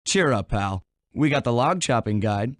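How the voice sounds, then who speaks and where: A man's voice: mid-range voice, animated, normal speed, no echo, studio quality, close to an everyday voice. A man playing a cool, wisecracking master thief in a video game, with a wry, laid-back delivery.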